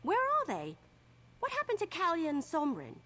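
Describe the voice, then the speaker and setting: Eager, high-voiced young elf adventurer. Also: female voice, wildly swooping pitch, normal speed, bright, forceful tone, exaggerated voice. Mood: curious, urging. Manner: bubbly, enthusiastic. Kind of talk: video game character acting.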